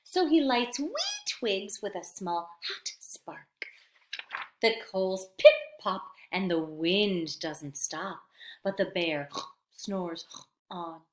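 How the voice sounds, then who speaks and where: A woman reading a picture book aloud to young children in an expressive, teacherly manner, pausing to explain words. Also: mid-range voice. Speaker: storytime reader for young children. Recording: roomy, echoey sound.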